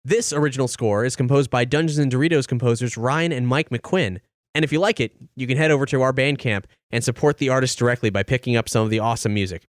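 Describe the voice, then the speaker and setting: A man's voice: mid-range voice, fast talker. A man hosting a podcast in a studio, introducing the show and its players to listeners. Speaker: podcast host.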